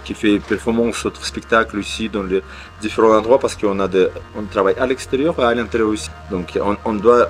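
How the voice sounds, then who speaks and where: A man speaking as an artistic director in an interview, hesitant, with many fillers and restarts. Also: on the low side. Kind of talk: interview answers.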